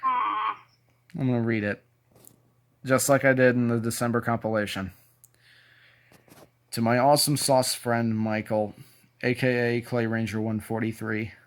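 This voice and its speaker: A man talking in a deliberate, sentimental way, as in a casual show-and-tell video, sometimes reading aloud. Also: mid-range voice. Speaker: YouTube video creator.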